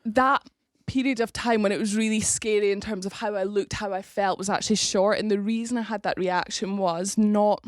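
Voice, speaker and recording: A woman's voice: mid-range voice, steady tone, normal speed, hardly any pauses, no echo, studio quality. A young woman from Scotland, a rugby player, chatting casually as a podcast guest, laughing often and restarting her sentences.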